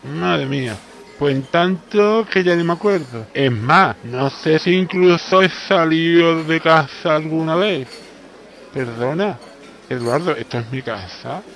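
A man's voice: a little high, lively intonation, a little slow, creaky, a bit bright, faint music behind, exaggerated voice. A man playing a boastful, absent-minded travelling salesman with an accent from Spain, exclamatory and know-it-all, in a comic radio play. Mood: jovial, muddled. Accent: Spanish (Spain).

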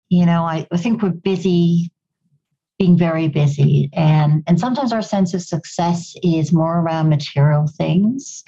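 A woman's voice: on the low side, mellow, dull audio. A woman from Goa raised in Kenya, with a slight English-tinged Kenyan accent, speaking earnestly as a podcast guest and often restarting her words. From Goa, India; raised in Kenya. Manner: earnest, reflective, repeats words as she restarts. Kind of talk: conversational interview answers.

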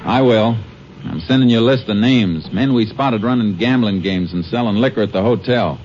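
A man playing a low-voiced, terse Texas Ranger. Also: moderate pace, a little mellow, a little hiss or hum, natural voice. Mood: determined. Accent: American. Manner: terse, plain-spoken, folksy. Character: steady, determined male Texas Ranger investigator and narrator. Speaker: lead actor and narrator. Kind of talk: 1950s radio drama acting and narration. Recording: dull old 1950 radio recording, music behind.

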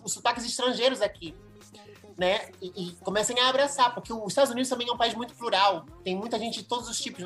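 A woman's voice: a little high, a bit bright. A Brazilian woman in her thirties, a singer-songwriter, talking expressively in a casual interview. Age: 30s.

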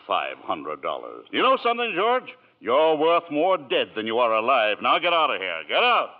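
An actor playing a cold, miserly old banker villain who taunts scornfully in a 1940s radio drama. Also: male voice, mid-range voice, wildly swooping pitch, moderate pace. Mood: scornful, gloating. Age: older. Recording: tinny old radio broadcast.

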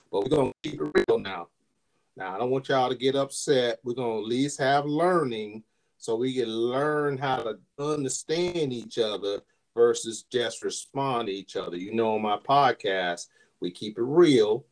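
A man hosting his own podcast in an upbeat, hype style with casual slang. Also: medium pitch. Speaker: podcast host.

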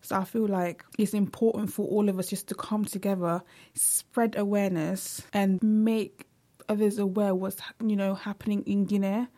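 A young woman talking straight to the camera in a casual, earnest way. Her pitch sits in an ordinary middle range, and she leans on "like" and "you know" as she searches for words. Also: flat delivery, normal speed, warm tone. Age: young adult. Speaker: vlogger.

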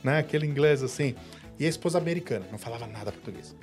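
A man from Brazil co-hosting a casual podcast chat, telling lively stories and acting out the people in them. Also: medium pitch, very fast, faint music behind. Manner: animated storyteller, acts out quoted voices. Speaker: podcast co-host.

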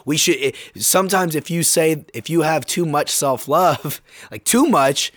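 A young man with a sore throat, slightly hoarse, hosting his own podcast in a laid-back, slangy, rambling way. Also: medium pitch, no room sound, clean studio sound. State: sore throat, getting over being sick. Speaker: podcast host. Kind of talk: casual solo podcast talk.

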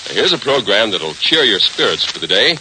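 A man's voice: on the low side, big pitch swings, fairly quick, pushed and bright, a little hiss or hum, rough recording. An American man announcing in an emphatic, theatrical 1940s radio style, on a vintage broadcast recording.

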